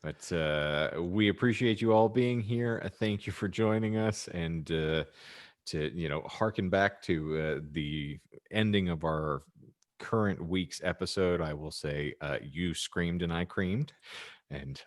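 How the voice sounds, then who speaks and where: Deep-voiced man with tongue-in-cheek formality. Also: measured pace, no room sound, clean studio sound. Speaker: podcast host. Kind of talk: casual banter and sign-off.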